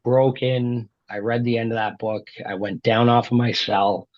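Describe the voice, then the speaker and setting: A man guest in a casual interview, telling his story in a slow, heartfelt, emphatic way. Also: mid-range voice, steady tone, darkish tone, no echo, lo-fi sound.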